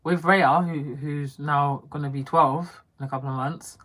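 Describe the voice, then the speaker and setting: A woman's voice: sits low, fairly even intonation, normal speed, fairly bright tone. A woman in a casual live chat, talking easily and often laughing, filling in details for new viewers. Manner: chatty, laughs often, jumps in to explain. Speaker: co-host of family channel.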